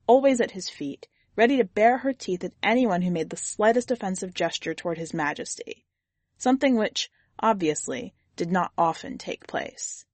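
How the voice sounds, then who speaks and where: A woman reading a literary fantasy story as scripted narration, with a measured, deliberate storytelling delivery. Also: medium pitch, no echo. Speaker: podcast host and story reader.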